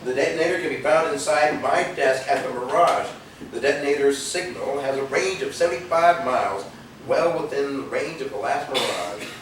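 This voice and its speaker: An actor on stage playing a hot-headed rebel in a gang, with a theatrical delivery. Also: male voice, medium pitch, normal speed, lightly acted. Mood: solemn reading. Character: hot-headed male rebel gang member, itching to attack. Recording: distant, echoey theater videotape with noise.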